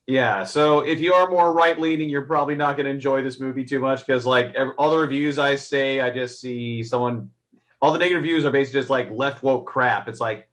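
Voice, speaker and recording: A man in a casual, unscripted movie review chat, speaking in an animated, exasperated and emphatic way. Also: mid-range voice, brisk. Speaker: movie reviewer, guest co-host.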